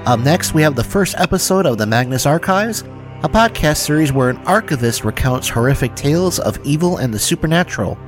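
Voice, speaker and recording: A man's voice: on the high side, lively intonation, fairly quick. A man hosting a show, presenting scripted links between segments. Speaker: show host. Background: music.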